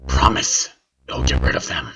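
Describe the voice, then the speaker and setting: A man voicing a traumatized, desperate younger brother in a horror story, with a hushed, rasping voice. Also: very deep voice, expressive, normal speed, voice pushed down. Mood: weary, desperate. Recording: clearly noisy recording.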